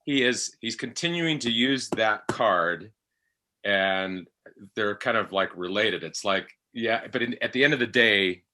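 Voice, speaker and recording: A man's voice: on the low side, animated, unhurried, noisy background. A man on a podcast panel talking casually, hesitating as he works through his thoughts. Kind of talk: casual discussion.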